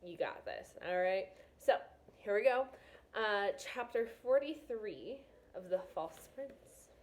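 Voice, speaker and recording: A woman's voice: mid-range voice, animated, takes it slowly. A woman teacher reading a novel aloud to students, with casual, encouraging asides. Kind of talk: read-aloud of a children's novel with explanatory asides.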